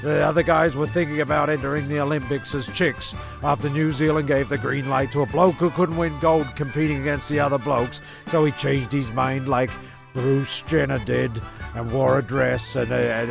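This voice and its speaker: A man with a strongly gravelly, creaky voice narrates a comedy radio play. He swears freely and trips over repeated words, with music clearly audible behind him. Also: mid-range voice, fairly even intonation, normal speed. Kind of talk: sweary scripted comedy banter and recap.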